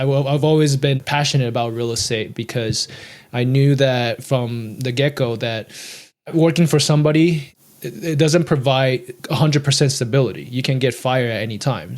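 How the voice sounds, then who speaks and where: A man in his thirties from Taiwan, a podcast guest telling his story in an earnest, steady way. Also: medium pitch. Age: 30s. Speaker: guest, real estate investor. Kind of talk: podcast interview.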